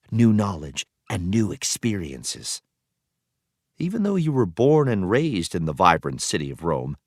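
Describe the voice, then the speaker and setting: A man reading an educational text aloud as a teacher, with expressive storytelling emphasis. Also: on the low side, no room sound, clean studio sound. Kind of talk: scripted educational read-aloud narration.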